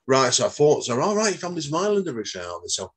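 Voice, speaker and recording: A man's voice: a little high, sing-song, rapid-fire, over a noisy crowd. A middle-aged man hosting an interview, rambling and leaning on stock phrases as he asks questions. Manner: rambling, leans on "obviously" and "like I said". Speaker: podcast host. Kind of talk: casual interview chat.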